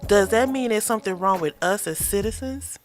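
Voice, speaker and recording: A woman's voice: medium pitch, a little fast, faint music behind. A woman co-hosting a podcast with her husband, talking in casual political chat, listing points wryly with sarcasm and frequent fillers. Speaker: podcast co-host.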